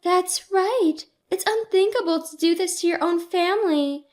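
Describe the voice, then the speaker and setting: Woman acting high-voiced, reproachful mother. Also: unhurried, a little mellow. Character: scolding, self-righteous middle-aged mother.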